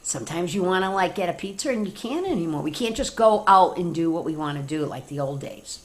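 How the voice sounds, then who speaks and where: A woman with an American accent reading a story aloud to children, animated and informal, with chatty asides. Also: on the low side, a little fast. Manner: animated, informal, talks directly to young children. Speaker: teacher reading stories to children.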